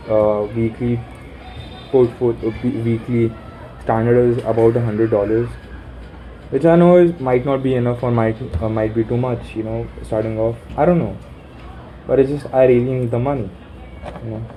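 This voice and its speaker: Young man, deep mellow voice, halting self-talk on a phone mic, faint traffic behind. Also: steady tone, rough recording. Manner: musing, halting, swears casually. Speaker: vlogger. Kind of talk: rambling self-talk planning. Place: sitting in a room corner.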